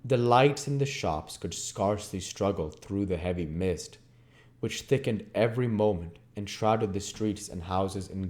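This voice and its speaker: A man's voice: sits low, darkish tone. A man reading a classic novel aloud as a dramatic, emphatic narrator. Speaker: audiobook reader.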